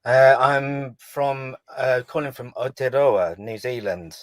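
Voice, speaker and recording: A man from England calling in to a podcast, talking slowly and hesitantly and thinking aloud with fillers. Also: mid-range voice, steady tone, dry recording. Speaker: caller. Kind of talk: casual call-in chat.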